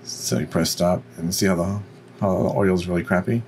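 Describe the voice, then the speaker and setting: A man with a deep voice giving an informal, explanatory software tutorial. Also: flat delivery, fairly quick, slight background noise. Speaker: tutorial presenter.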